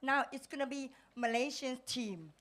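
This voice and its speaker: High, upbeat female emcee, noisy. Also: moderate pace. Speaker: host of the competition. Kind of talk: emcee announcements.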